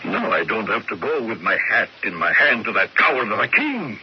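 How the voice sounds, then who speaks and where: An actor playing a grimly resolute Italian fascist councillor in a radio drama, speaking in a deliberate, theatrical, oratorical way. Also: male voice, on the low side, big pitch swings. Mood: wry, scheming. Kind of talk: American radio drama acting. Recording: noisy, dull old radio broadcast.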